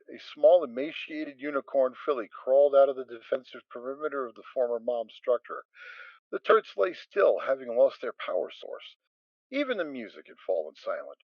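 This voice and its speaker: A man narrating a dramatic reading of a story, steady storytelling with occasional stumbles. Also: medium pitch, dry recording. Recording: dull lo-fi amateur mic with soft music.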